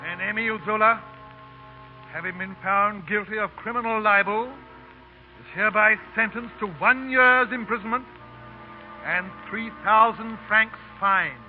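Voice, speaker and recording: An actor playing a stern, formal court official, declamatory and measured in 1930s radio style. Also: male voice, sits high. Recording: tinny 1930s radio recording with music.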